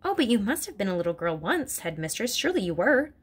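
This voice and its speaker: A woman reading aloud voices a gentle, earnest young schoolteacher who pleads politely. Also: medium pitch, very animated, quick pace, husky. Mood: pleading.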